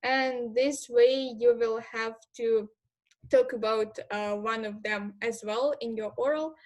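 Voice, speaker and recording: A young woman student explaining exam assignments to future students in a steady, methodical way. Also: a little high, takes it slowly. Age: young adult. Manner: steady, methodical, with occasional fillers. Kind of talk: informal explainer talk to prospective students.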